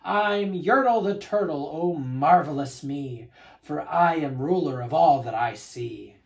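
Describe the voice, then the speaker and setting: Storybook turtle king, played by a male reader: pompous, bellowing tyrant, boastful and unhurried in delivery. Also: on the high side, gravelly. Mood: gloating.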